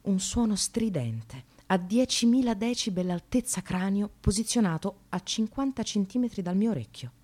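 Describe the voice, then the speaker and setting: An actress playing an exasperated, bitterly sarcastic receptionist in a dramatic radio monologue, shifting between muttering and outbursts. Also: female voice, mid-range voice, expressive, normal speed, few pauses, a little mellow, lightly acted. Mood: sardonic.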